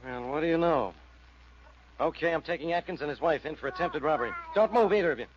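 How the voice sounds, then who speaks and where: Man acting a higher-voiced blustering police inspector. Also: lively intonation, fast talker, close to an everyday voice. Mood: baffled, blustering. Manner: blustering, insistent. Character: gruff, exasperated police inspector, easily baffled. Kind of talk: 1940s radio detective drama acting. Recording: dull, hissy vintage radio recording.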